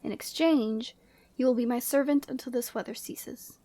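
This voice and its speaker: A woman playing a proud, commanding yeti woman in a scripted ASMR roleplay, speaking in an imperious, measured and formal way. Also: fairly high pitch, fairly even intonation, warm tone, natural voice. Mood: stern, bargaining.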